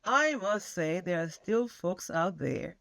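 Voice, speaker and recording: A woman's voice: on the low side, expressive, takes it slowly, creaky, a bit bright, no echo. A woman from Venezuela, answering interview questions emphatically, often restarting her phrases. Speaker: guest scholar.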